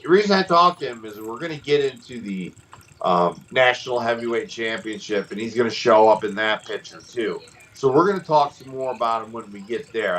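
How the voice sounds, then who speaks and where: A middle-aged man and former wrestler hosting a podcast, telling stories in a rambling, animated way with lots of restarts. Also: on the low side, poor audio. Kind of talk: casual storytelling chat.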